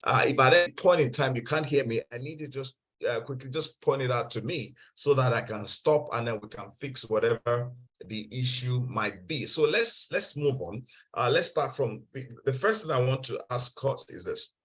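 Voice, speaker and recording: A man's voice: medium pitch, lively intonation, normal speed, fairly bright tone. A man presenting an online investment seminar, often stumbling and repeating words and checking whether listeners can hear him. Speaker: webinar presenter. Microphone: video call. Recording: dull lo-fi video call audio.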